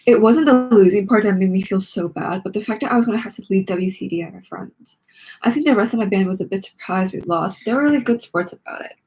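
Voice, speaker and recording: A woman's voice: medium pitch, quick pace, darkish tone, poor audio. A woman reading a children's story aloud with dramatic expression, acting out the lines. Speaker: read-along narrator of a children's book.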